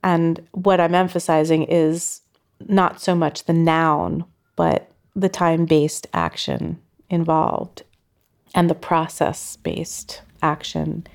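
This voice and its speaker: Low, gravelly-voiced woman musing aloud. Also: lively intonation, slow, darkish tone. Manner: thoughtful, loosely phrased with frequent "like". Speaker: sound artist and researcher. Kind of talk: reflective interview talk.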